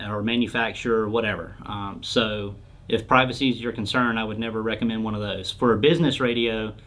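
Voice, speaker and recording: A man's voice: mid-range voice, a bit bright. Man explaining products in plain terms. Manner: informal, plain-spoken explaining. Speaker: company product expert answering questions. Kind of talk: casual explanatory interview talk.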